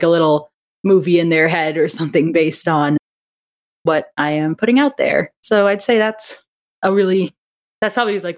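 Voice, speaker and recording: A woman with a slightly low voice talks casually as a podcast guest. She rambles with some hesitation, often rephrasing her thoughts midway. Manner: hesitant, rambling, self-correcting. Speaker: podcast guest, audio drama creator. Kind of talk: casual interview chat.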